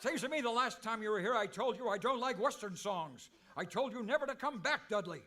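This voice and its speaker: A man's voice: high voice, animated, a little fast, bright, forceful tone. A man playing an earnest, hapless cowboy hero in a radio comedy, a put-upon and defensive grown son. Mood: irritated. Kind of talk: old-time radio comedy acting.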